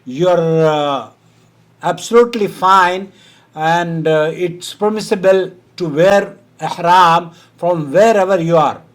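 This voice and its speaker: A man from India with a high-pitched voice hosts a call-in Q&A. He talks firmly and waves off rumors, with a short laugh now and then. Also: slow pace. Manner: firm, dismissive of hearsay, with short laughs. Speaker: host answering a caller's religious question. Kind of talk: phone-in Q&A.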